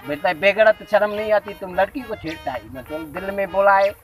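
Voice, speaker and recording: A man's voice: sits high, fairly quick, faint music behind, close to an everyday voice. A man playing a luckless, rambling bachelor in a comedy skit, complaining animatedly about his search for a wife. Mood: mocking, animated. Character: luckless, grumbling bachelor desperately hunting a wife by phone. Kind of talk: improvised comedy skit, casual chat.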